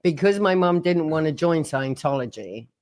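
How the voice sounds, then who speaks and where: A man's voice: fairly high pitch, lively intonation, moderate pace, darkish tone. A man in his sixties giving plain, frank personal testimony about his childhood. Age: 60s. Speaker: former member telling his story.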